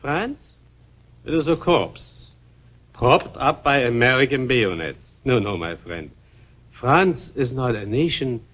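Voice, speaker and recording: A man's voice: medium pitch, animated, slow, a bit bright, dull audio. A man playing a cynical, scornful European spreading political gossip in a propaganda film, dismissive and emphatic.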